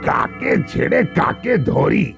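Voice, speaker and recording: A man voicing a blustering, bossy, excitable police officer in a comic cartoon. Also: slow pace, over music, natural voice. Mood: bossy, excited.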